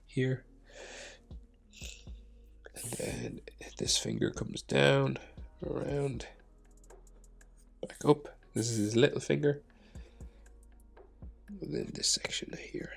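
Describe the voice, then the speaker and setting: Slow, gravelly man with a stuffy cold. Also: mid-range voice, huge pitch swings, soft background music. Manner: quiet, mumbling to himself, drifting into whispers. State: congested with a cold. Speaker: art tutor. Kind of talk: drawing tutorial voice-over.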